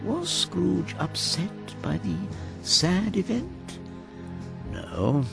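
Wry storyteller narrating a radio play, lively and creaky, music underneath. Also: male voice, sits high, extremely slow, exaggerated voice. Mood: wry, emphatic. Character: storyteller narrator of a Victorian ghost tale, wry and emphatic. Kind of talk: scripted radio drama narration.

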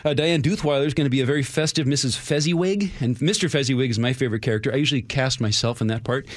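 A man's voice: mid-range voice, racing, no echo. A man chatting casually as a radio drama director, wry, self-deprecating and prone to digressions.

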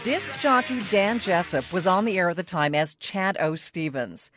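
Lively, low-pitched American woman narrator. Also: normal speed, dry recording, clean studio sound, soft background music. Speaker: radio anchor and reporter, host. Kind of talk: scripted documentary narration.

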